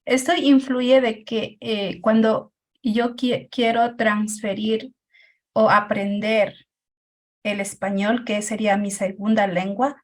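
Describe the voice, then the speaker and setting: Unhurried Quichua-native woman, hesitant. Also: on the high side, little pitch movement. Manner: hesitant, many pauses and filler sounds. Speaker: teacher. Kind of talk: personal account in a group discussion.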